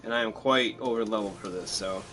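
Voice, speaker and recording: A man's voice: on the low side, brisk, pushed and bright, soft background music. A man casually commentating on his live game stream, chatty and self-deprecating, thinking aloud as he plays.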